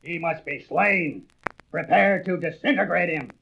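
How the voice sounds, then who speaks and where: An actor playing a cold, imperious alien invader commander with open contempt, in a comic book audio drama. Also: male voice, on the high side, measured pace, creaky. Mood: ruthless. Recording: noisy, dull old record with clicks.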